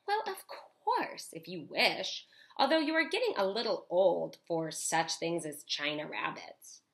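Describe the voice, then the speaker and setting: A woman's voice: on the low side, very animated, measured pace, fairly bright tone, heavily acted. A woman voicing a genteel, rich storybook mother with an airy, condescending manner.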